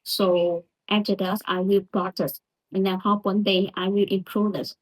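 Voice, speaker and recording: A woman's voice: mid-range voice, flat delivery, moderate pace, slightly rough, poor audio. A woman with a Vietnamese accent, a Vietnamese native speaker, giving a hesitant pronunciation practice lesson in broken English with many restarts. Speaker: English learner vlogger.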